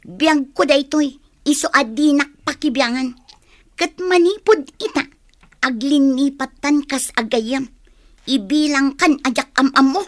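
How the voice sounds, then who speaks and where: A woman's voice: high voice, unhurried, dry recording. A woman playing a headstrong, resentful young student in an Ilocano radio drama, speaking with defiance. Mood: angry, defiant. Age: young adult.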